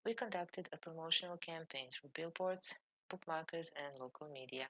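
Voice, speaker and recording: A woman from Serbia, a librarian, reading a formal conference presentation in a measured way. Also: low-pitched, sharp tone, no echo, highs cut off.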